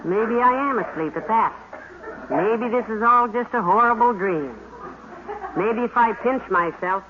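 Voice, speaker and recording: A woman playing a patient housewife who answers her husband with dry, deadpan wisecracks. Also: mid-range voice, unhurried, natural voice. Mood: wry. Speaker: long-suffering wife. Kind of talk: 1950s radio sitcom acting. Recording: dull old radio broadcast with hiss.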